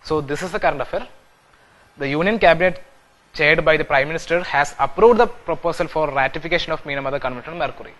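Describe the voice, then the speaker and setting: A man's voice: fairly high pitch, quick pace, fairly bright tone, poor audio. A young man teaching an exam-prep class, repeating key points with frequent "okay" and "so", recorded in a studio classroom. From India. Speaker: civil services exam teacher. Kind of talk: classroom lecture on video. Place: indoor classroom studio with whiteboard screen.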